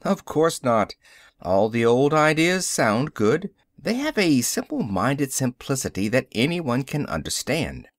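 A man's voice: medium pitch, big pitch swings, measured pace, no room sound, clean studio sound. A man reading Neil, a lecturing scientist. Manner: explanatory, lecturing cadence. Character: adult male social scientist, lecturing and confident. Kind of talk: audiobook character reading.

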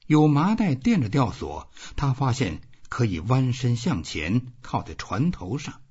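Very husky, slow male narrator. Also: medium pitch, darkish tone, lo-fi sound. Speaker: audiobook narrator. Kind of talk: scripted literary narration.

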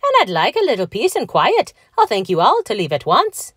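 A woman's voice: medium pitch, wildly swooping pitch, a little fast, a bit bright, husky, no echo, clean studio sound, pitched above the performer's own voice, heavily acted. A woman voicing a proud, indignant little man of the fairy folk in a storybook, scolding in formal old-fashioned phrases. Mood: firm, curt.

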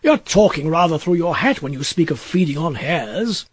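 A man voicing a fussy, self-important English gentleman, indignant, emphatic and easily flustered, in an audiobook. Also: a little high, lively intonation, moderate pace, slightly rough, dry recording, clean studio sound. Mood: puzzled, sceptical. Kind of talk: audiobook character voice.

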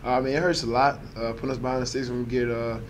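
Quiet young guy at a post-game presser, flat voice, lots of uhs, background noise. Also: mid-range voice. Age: 20s. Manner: soft-spoken, halting with many fillers. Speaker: college quarterback. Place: press conference room.

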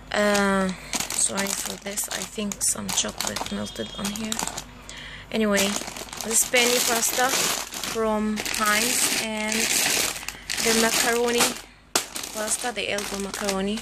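A woman vlogger chatting casually and unscripted, haltingly searching for words as she shows things at home. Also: medium pitch. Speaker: vlogger showing a grocery haul. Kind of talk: unscripted casual show-and-tell chat. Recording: noisy handheld home recording with room ambience.

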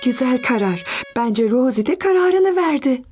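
A woman voicing a gentle, encouraging narrator and mother figure in a children's cartoon. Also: high voice, expressive, unhurried, soft background music.

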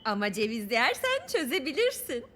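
Very high, chirpy cartoon little girl. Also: wildly swooping pitch, normal speed, background noise. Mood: eager, helpful. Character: eager, meddlesome, cheerful little girl eager to help. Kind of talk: children's cartoon voice acting. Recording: music behind the voice.

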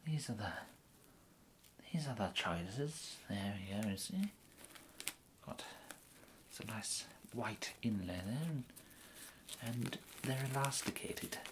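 A man in his thirties with an English accent playing a polite, fussy gentleman tailor in an ASMR roleplay, murmuring hesitantly and often slipping into a breathy whisper. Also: lowish voice, animated, takes it slowly, background noise. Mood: courteous, pleased. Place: indoors, plain small room, close to camera.

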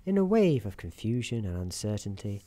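A man playing a soothing, wry narrator in an office worker's head, soft-spoken, with measured theatrical delivery for an ASMR storytelling roleplay. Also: on the low side, very animated. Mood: calm narrating.

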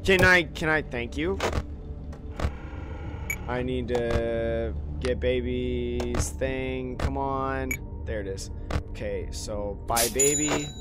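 A slightly hoarse man streaming a horror game, mumbling commentary to himself with many ums. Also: medium pitch, slow. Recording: voice over loud game music.

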